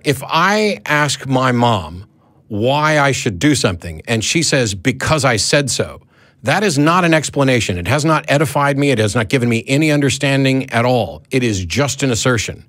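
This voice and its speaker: A man's voice: medium pitch, animated, normal speed, fairly bright tone, no room sound. A man hosting a live call-in show, debating in a blunt, argumentative way and readily cutting in.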